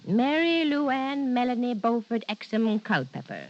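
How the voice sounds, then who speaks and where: An actress playing a rich, breezy, bossy older socialite in an old-time radio drama, slangy and playful. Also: female voice, a little high, very animated, measured pace. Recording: dull vintage radio recording.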